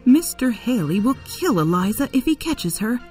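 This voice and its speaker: Narrator as an anxious, kind-hearted lady, higher-pitched. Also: big pitch swings, normal speed, a little mellow, soft background music. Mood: worried. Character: distressed, kind-hearted mistress of a farm.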